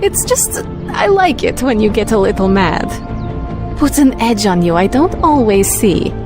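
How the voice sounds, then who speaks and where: A woman's voice: fairly high pitch, sing-song, measured pace, music in the background. A woman playing a teasing, mischievous young sorceress, sly and confident, in a video game. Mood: amused, teasing. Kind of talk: video game cutscene acting.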